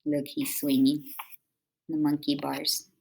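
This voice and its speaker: A woman doing an expressive picture-book read-aloud for children, drawing out words and adding chatty questions to her young listeners. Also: sits low, takes it slowly, vocal fry, background noise.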